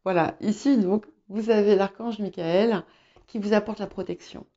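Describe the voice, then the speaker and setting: Woman doing tarot guidance, english is her first language, hesitant but reassuring, soft warm tone, kinda muffled recording. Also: medium pitch, fairly even intonation, moderate pace. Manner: hesitant, searching for words, reassuring. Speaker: tarot reader hosting guidance videos. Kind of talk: informal intuitive tarot reading to viewers. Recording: slightly dull, muffled sound.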